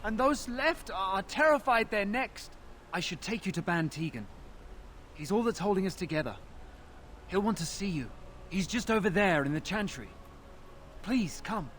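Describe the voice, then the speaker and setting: A young village militiaman from a fantasy game, earnest and frightened. His voice is high for a man and swings widely in pitch, with some ambient noise behind it. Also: very animated, normal speed, pauses often, fairly bright tone. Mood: desperate, pleading. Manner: earnest, anxious. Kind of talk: fantasy video game dialogue.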